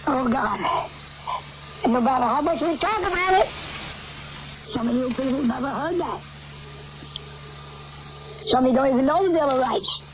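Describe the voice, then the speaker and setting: Older American woman preacher, high voice, halting repetitive emphatic delivery, dull shortwave radio sound. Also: expressive. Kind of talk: rambling end-times sermon. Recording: noisy, muffled shortwave radio capture.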